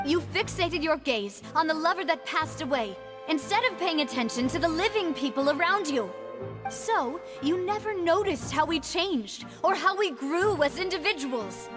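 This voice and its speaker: Confident, high-voiced young accuser. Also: female voice, lively intonation, moderate pace. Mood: resolute. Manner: assured, pointed. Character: confident poised young woman, determined accuser. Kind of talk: dramatic video game voice acting. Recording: music under the voice.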